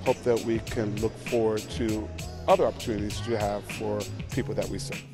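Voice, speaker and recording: A man with a low voice thanks his hosts in an upbeat, appreciative testimonial. Music plays clearly behind him. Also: steady tone, a little fast. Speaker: nonprofit executive director. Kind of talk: short testimonial interview.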